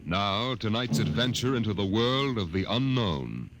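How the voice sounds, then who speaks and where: Low-voiced ominous radio narrator man. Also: lively intonation. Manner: ominous, measured storytelling. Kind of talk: 1950s radio narration, scripted. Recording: old radio recording, loud music behind.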